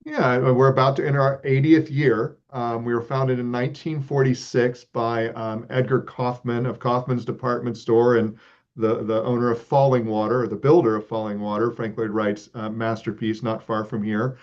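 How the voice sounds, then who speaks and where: A man of middle pitch talks through his answers in an earnest, reflective way and keeps filling pauses with ums and uhs. The audio is slightly muffled, with a lo-fi edge. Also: flat delivery. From Texas. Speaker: arts organization leader, guest. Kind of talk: relaxed interview answers.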